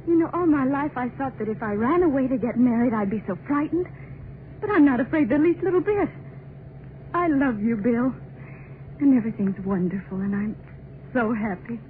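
A woman's voice: fairly high pitch, animated, normal speed, slight background noise, natural voice. A young woman playing an adoring, emotional bride in a sentimental, breathless 1930s radio drama style. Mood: blissful. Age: young adult. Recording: thin, muffled 1930s radio recording.

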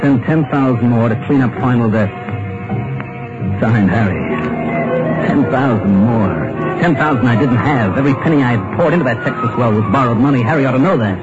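A man's voice: mid-range voice, brisk, lightly acted. A haunted, desperate businessman, as a man acts him out tells his story in a tense, brooding voice. The old radio broadcast sounds muffled and dull, with orchestral music swelling underneath. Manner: tense, haunted first-person narration. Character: anxious broke businessman, middle-aged husband. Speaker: narrator and lead actor. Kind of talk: 1950s radio drama acting.

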